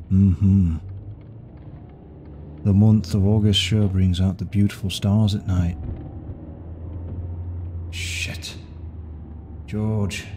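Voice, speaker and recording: Devoted CEO boyfriend played by a male actor, dark mellow tone, very slow and intimate ASMR roleplay. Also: fairly low pitch, a little hiss or hum. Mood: casual, evasive, then muttering, exasperated. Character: devoted, secretive male CEO romancing his secretary. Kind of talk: intimate ASMR roleplay acting, one side of a dialogue.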